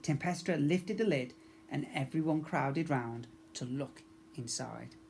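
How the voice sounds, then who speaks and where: A man narrates a children's storybook. His delivery is expressive and clearly aimed at young listeners, like a teacher reading to a class. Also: fairly high pitch, measured pace, a little hiss or hum.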